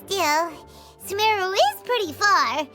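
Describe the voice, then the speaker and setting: A woman voicing a tiny, chatty female companion in a video game, teasing and exclaiming, with loud game music behind. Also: extremely high pitch, lively intonation, heavily acted. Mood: cheerful. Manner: chatty, quick to tease, exclaims often. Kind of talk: video game cutscene voice acting.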